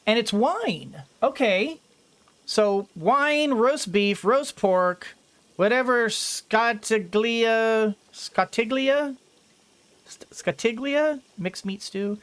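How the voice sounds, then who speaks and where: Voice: mid-range voice, expressive, drawn-out words, a bit bright. A game streamer giving casual commentary, rambling and thinking aloud while playing.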